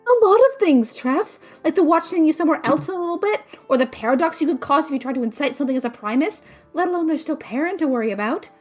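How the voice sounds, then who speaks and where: Actress as a wry, protective young woman in an audio drama, swinging pitch, challenging delivery. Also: animated, quick pace, hardly any pauses, faint music behind. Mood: exasperated. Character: skeptical, protective, sardonic young woman adventurer. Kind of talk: scripted audio drama acting.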